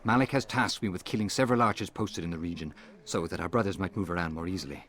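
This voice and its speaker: A worried informant from an assassin order speaks in a low voice. He is earnest and confiding, a video game character who needs help. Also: quick pace, fairly bright tone, a little hiss or hum. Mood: anxious, confiding. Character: worried male assassin informant asking favors of friend.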